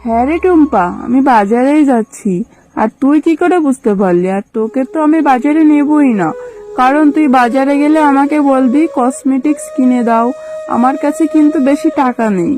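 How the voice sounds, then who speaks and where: A woman's voice: high-pitched, normal speed, warm tone, faint music behind, natural voice. A woman voicing a grown female fox villager in a cartoon, fussy and chatty with a scolding manner. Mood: reluctant. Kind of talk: cartoon character acting.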